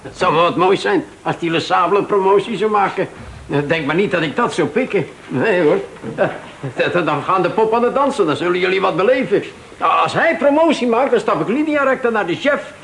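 A man's voice: high-pitched, animated, a little fast, vocal fry, fairly bright tone, exaggerated voice. A man playing an indignant, blustering, passed-over office clerk who repeats himself in a radio play. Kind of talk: Dutch radio play acting. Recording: slightly noisy old radio recording.

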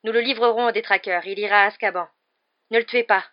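A woman's voice: mid-range voice, steady tone, quick pace, dull audio, voice pushed up, close to an everyday voice. A woman voicing an earnest teenage boy in a storybook, speaking with urgent, breathless firmness. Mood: firm, resolute. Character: earnest, resolute teenage boy standing his ground.

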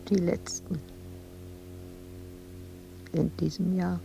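An actress playing an anguished, exhausted, obsessive woman sculptor in a radio drama monologue, speaking in halting fragments that often sink into breathy whispers. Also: on the low side, flat delivery, warm tone, soft background music, heavily acted, low-quality recording. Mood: resigned, weary.

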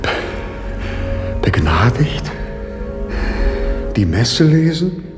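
A condemned priest, slow and solemn. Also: male voice, mid-range voice, big pitch swings, big-room sound, over music. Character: condemned male priest awaiting execution. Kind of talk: 1960s radio drama acting.

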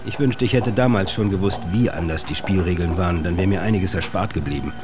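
An actor playing a self-justifying music industry manager, in a measured, explanatory radio monologue. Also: male voice, deep voice, lightly acted. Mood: reflective, rueful. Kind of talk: radio feature monologue and letter reading. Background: faint music.

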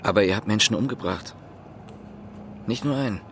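A man's voice: on the low side, normal speed, fairly bright tone, a little hiss or hum. An actor in a radio drama playing an earnest, uneasy East German policeman, hesitant and sincere in his questioning manner. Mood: firm, disbelieving.

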